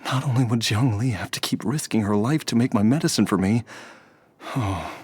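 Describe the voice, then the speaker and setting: A man's voice: on the low side, normal speed, darkish tone, husky. A man voicing a frail, resigned young man with a heart illness, speaking earnestly and wearily. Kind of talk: video game cutscene voice acting.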